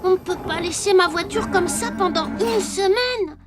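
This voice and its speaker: A performer playing a cheerful little wooden puppet boy in a children's cartoon, eager, childlike and expressive. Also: extremely high pitch, moderate pace. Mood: dismayed. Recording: music behind the voice. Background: children's cartoon music.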